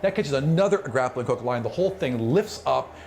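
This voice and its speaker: A man narrating a tabletop fantasy game as game master, improvising in an animated way with spoken sound effects. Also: mid-range voice, fairly quick, slight background noise.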